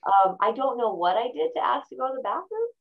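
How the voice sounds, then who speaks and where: A woman's voice: a little high, sing-song, fast, narrow, tinny audio, heavy background noise. A young adult woman, Mandarin her first language, talking thoughtfully in a casual interview and laughing often. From born in China, raised in the United States. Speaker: guest.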